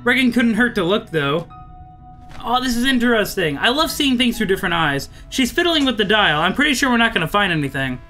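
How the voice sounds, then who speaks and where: A man's voice: sits high, big pitch swings, quick pace, few pauses, bright, forceful tone, faint music behind. A man hosting a visual novel playthrough, reading narration aloud with chatty, amused, wry asides. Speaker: let's play host.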